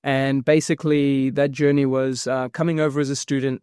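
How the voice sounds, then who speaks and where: A man's voice: mid-range voice, clean tone, dry recording, studio quality. A man, talking energetically as a guest in a casual interview. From New Zealand. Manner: energetic, fast-flowing explanations with fillers. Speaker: guest, property investment founder.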